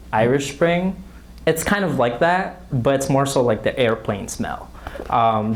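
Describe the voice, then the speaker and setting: Earnest audience member from the Philippines. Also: male voice, medium pitch, slight background noise. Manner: earnest, slightly halting, with many fillers. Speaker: audience member asking a question. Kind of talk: prepared question from the audience.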